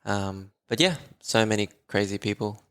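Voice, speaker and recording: A man's voice: fairly low pitch, normal speed, fairly bright tone, clear, resonant tone. A man, a voice therapist talking as a guest in a casual podcast conversation, enthusiastic and chatty with frequent laughs.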